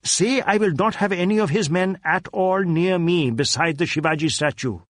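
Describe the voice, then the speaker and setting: A man's voice: sits high, moderate pace, dry recording, clean studio sound, voice pushed up, lightly acted. A man voicing a domineering, impatient wealthy Indian businessman in an audiobook, barking commands with an Indian accent. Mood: angry, commanding. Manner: imperious, barking short repeated commands.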